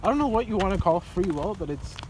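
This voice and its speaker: A man's voice: high voice, lively intonation, fast, over a noisy crowd, poor audio. A young man in casual philosophical chat on a walk, theorizing in abstract terms. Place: outdoors on a walk. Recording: loud outdoor noise.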